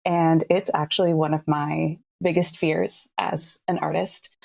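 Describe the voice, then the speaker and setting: A woman's voice: deep voice, level tone, measured pace, gravelly, darkish tone. A woman giving earnest, thoughtful reflections as an artist in a conversational interview. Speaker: artist and podcast creator.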